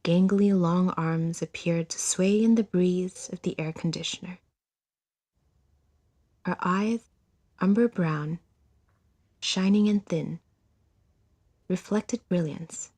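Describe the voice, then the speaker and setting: A woman reading scripted literary narration for an audiodrama in a measured, deliberate storytelling manner. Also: fairly low pitch, warm tone.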